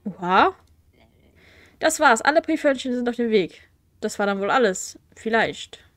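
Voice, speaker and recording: A woman's voice: on the high side, lively intonation, measured pace, fairly bright tone, dry recording, studio quality. A young woman with a cold, chatting casually with wry asides and fillers as she plays through a game. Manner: chatty, wry, with frequent fillers and self-corrections. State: has a cold. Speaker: let's play host. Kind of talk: casual gameplay commentary, reading quest text aloud. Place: at home.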